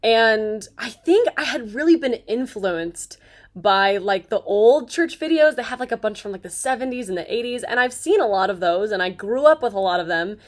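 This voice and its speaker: A woman in her twenties hosting a casual chat, bubbly and quick to laugh and shout. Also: on the high side, expressive. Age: 20s. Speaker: host.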